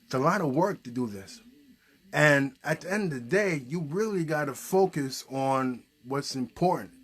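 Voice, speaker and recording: Husky, animated man hosting a puppet show. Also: on the high side. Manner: halting, thinking aloud, questioning. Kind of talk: improvised, casual children's storytelling show.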